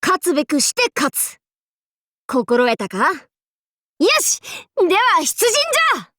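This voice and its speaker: A woman's voice: sits high, big pitch swings, slow, bright, forceful tone, no echo, studio quality, exaggerated voice. An actress playing a boastful young female demon-king warlord in an anime game, with archaic lordly speech that flips between haughty and goofy. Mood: stern, menacing, then triumphant, commanding. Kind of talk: Japanese anime game character voice lines.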